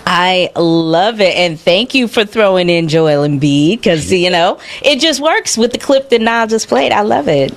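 A woman's voice: fairly low pitch, unhurried, few pauses. A woman hosting a casual live radio show, chatting in an enthusiastic, encouraging way.

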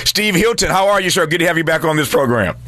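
A man's voice: a little high, lively intonation, fast talker, pushed and bright, dry recording. A man hosting a talk radio show, genial and chatty, with many fillers as he talks.

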